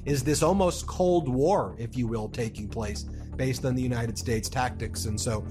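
A man's voice: mid-range voice, moderate pace, over music. Hesitant man commenting on news. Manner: hesitant, with fillers and restarts. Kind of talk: reading and commenting on posts.

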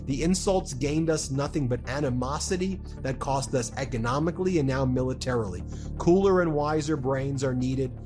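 A man's voice: medium pitch, normal speed, music in the background. A man commenting on the news and reading out posts, hesitant with fillers and restarts.

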